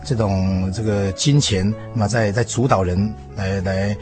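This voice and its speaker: A man with a Taiwanese Mandarin accent explains things conversationally on the radio, haltingly, repeating phrases and trailing off with particles. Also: on the low side. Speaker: interview guest commentator. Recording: music behind the voice. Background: background music.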